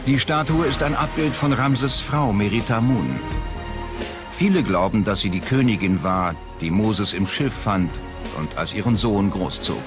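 Male documentary narrator, lower register, scripted read over a clear music bed. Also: lively intonation, a little slow. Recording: loud music bed behind the voice. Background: background music.

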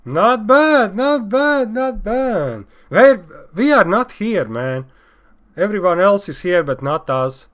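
A man in his thirties giving casual, excitable off-the-cuff commentary as a reaction video host, often exclaiming and repeating his phrases. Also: high voice, slow. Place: indoor room. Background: game trailer music.

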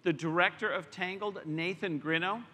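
A man's voice: sits high, normal speed, bright, forceful tone, a little hiss or hum. A middle-aged man presenting and proudly announcing films on a large arena stage. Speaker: studio executive presenting on stage. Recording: arena sound with long reverb.

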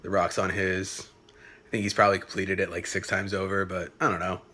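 A man's voice: low-pitched, level tone, pushed and bright, husky. A young man in his late twenties vlogging to a phone camera in a small apartment, rambling casually, swearing and trailing off with fillers. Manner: loose, swearing, halting with fillers. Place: small apartment room indoors. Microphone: phone camera at arm's length.

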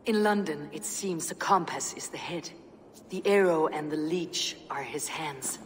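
Low-voiced, stern Viking warrior. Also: female voice, a little hiss or hum. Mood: resolute. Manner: measured, grim, matter-of-fact. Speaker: video game lead character. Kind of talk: dramatic game cutscene acting. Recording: reverberant stone hall, music underneath.

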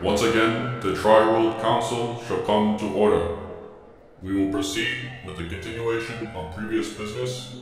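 A man's voice: fairly low pitch, fairly even intonation, moderate pace, natural voice. A man playing a stern, authoritative council presider in a radio drama, speaking formally. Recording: heavy echo with music behind.

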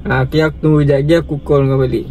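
A man's voice: fairly high pitch, level tone, racing, over music. A man in his twenties with a Malaysian accent, chatting casually and pressing with questions inside a car. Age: 20s. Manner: insistent, questioning. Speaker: prank caller. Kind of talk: casual phone chat.

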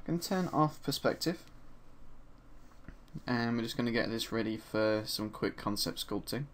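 A man with a slightly hoarse voice from a sore throat narrates a screen-recorded 3D sculpting tutorial in a relaxed, chatty way, with frequent ums and uhs. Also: medium pitch, moderate pace, a little hiss or hum. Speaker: 3D art instructor. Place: at his desk, voice-over for a screen recording.

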